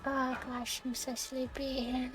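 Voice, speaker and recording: A woman with a high voice chats casually as she streams a game. She is playful and exclaims at what happens on screen, with clear game music running behind her. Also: little pitch movement, takes it slowly. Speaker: live streamer. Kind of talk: casual gaming reaction commentary. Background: video game music.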